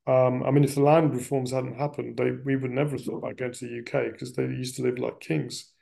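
A middle-aged man telling family history in a reflective, conversational interview style, often pausing and circling back mid-phrase. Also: medium pitch, level tone, vocal fry, dark tone. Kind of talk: reflective personal storytelling in casual conversation.

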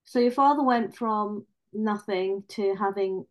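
A woman's voice: mid-range voice, measured pace, rough recording. A woman interviewing someone in a casual, conversational style.

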